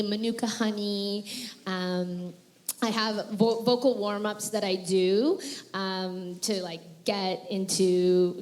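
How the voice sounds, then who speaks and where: A woman voice actress answering questions at a convention panel, chatty and rambling with frequent fillers and laughs. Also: medium pitch.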